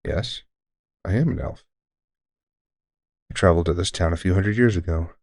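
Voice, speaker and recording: A man playing a deranged centuries-old elf shopkeeper who is courteous, formal and unsettlingly calm, in an audio roleplay. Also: sits low, normal speed, no echo. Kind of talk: scripted audio roleplay addressing the listener.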